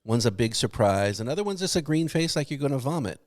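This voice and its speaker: A man's voice: mid-range voice, lively intonation, quick pace. A man co-hosting a podcast in casual snack-tasting chat, talking in an informal, blunt way. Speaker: podcast co-host.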